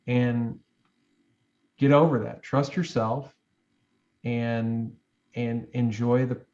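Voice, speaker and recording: A man's voice: mid-range voice, steady tone, takes it slowly, a little mellow, dull audio. A man in his forties, a guest giving advice in a reflective interview, talking in loose, rambling sentences with many fillers and restarts. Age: 40s.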